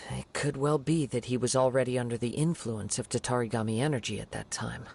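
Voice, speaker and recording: A man voicing a calm, vigilant young wandering swordsman in a video game, speaking in a measured, formal, contemplative way. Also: medium pitch, vocal fry, a little hiss or hum, close to an everyday voice. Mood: grave, analytical.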